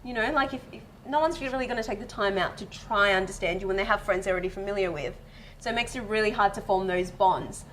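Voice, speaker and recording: A woman's voice: mid-range voice, fast, a bit bright, a little hiss or hum, low-quality recording. A woman in her twenties, raised in Israel, chatting as a guest and laughing often. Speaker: guest, reality show runner-up. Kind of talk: casual interview chat.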